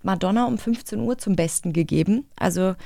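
Casual woman host, lightly gravelly. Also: mid-range voice, brisk, dark tone, no echo, studio quality. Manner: informal, chatty. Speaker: interview host. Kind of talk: casual studio conversation. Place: recording studio.

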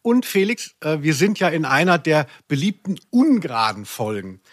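Lighter-pitched male podcaster, dry close sound. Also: sing-song, moderate pace, no room sound. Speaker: podcast co-host. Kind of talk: casual, jokey podcast chat about audio dramas.

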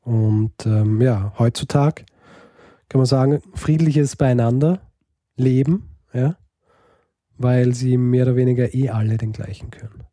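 Dark-voiced hesitant male podcast host. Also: medium pitch, fairly even intonation, measured pace, no room sound, studio quality. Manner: hesitant, many fillers and restarts. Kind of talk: casual podcast chat.

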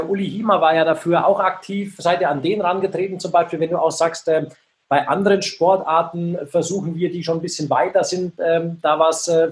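A man co-hosting a podcast, asking several questions in a row in a conversational way. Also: a little high. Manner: piles up questions. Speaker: podcast co-host.

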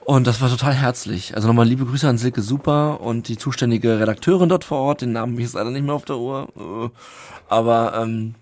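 A man hosting a podcast, chatting casually and telling stories in a lively way. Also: medium pitch, a little fast, no room sound. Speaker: podcast host. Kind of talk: casual chat.

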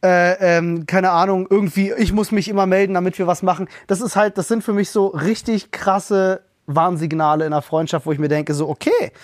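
A man's voice: high-pitched, fairly even intonation, no echo, clean studio sound. A man co-hosting a casual podcast chat, blunt and full of fillers. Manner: blunt, filler-heavy, quick. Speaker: podcast co-host.

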